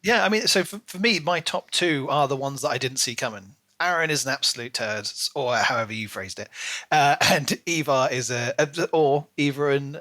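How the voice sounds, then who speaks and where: A man's voice: mid-range voice, moderate pace, bright, forceful tone. A man co-hosting a podcast, casually discussing books in a chatty, hedging way with frequent filler phrases. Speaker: podcast co-host.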